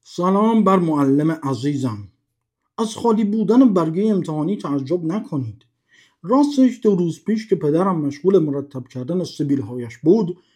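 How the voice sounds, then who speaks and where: A man's voice: sits high, dark tone, slightly rough, voice pushed up. A man voicing a cocky, boastful schoolboy with a mustache in a read-aloud story, talking in a smug, show-off manner. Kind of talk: storybook character voice.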